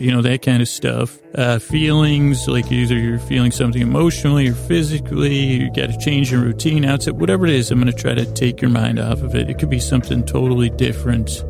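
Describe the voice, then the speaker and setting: A man with a gravelly voice, hosting a bedtime podcast in a meandering, halting monologue that often trails off mid-thought. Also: medium pitch. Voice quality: gravelly, creaky. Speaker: podcast host. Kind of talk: rambling bedtime-story monologue.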